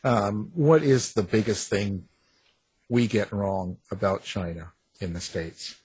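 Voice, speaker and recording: A man's voice: lowish voice, takes it slowly, darkish tone, breathy edge. A man interviewing a guest, asking his questions hesitantly with plenty of fillers.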